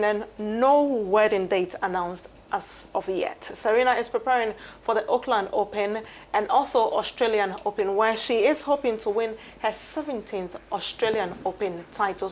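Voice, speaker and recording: A woman sports presenter delivering a live news segment with studio chat, pausing often as she goes. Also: on the high side. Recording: steady background noise.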